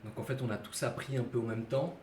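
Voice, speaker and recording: A man in his thirties from Switzerland whose first language is Portuguese answers questions with many hesitations and restarts. He sits away from the microphone in a reverberant room, and steady noise hangs behind him. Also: medium pitch, quick pace. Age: 30s. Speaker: guest, schoolteacher. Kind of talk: casual podcast interview. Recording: echoey room, distant mic, noisy.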